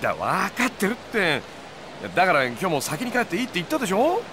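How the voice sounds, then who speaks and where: An actor playing an easygoing, laid-back boss in his thirties in a video game, talking in a casual, loose, expressive way. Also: high voice, quick pace, noisy background. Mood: amused, casual.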